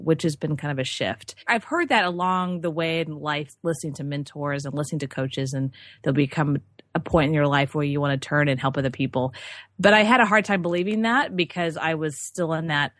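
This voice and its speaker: A woman's voice: sits low, fairly quick, fairly bright tone, no room sound. A woman from Texas with no regional accent, a business coach telling her story as an interview guest in a chatty, candid way with many fillers.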